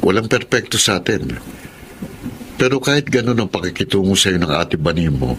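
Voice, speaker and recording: An actor playing an older foster father in a radio drama, calm, soothing and fatherly. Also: low voice, normal speed, slight background noise. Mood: gentle.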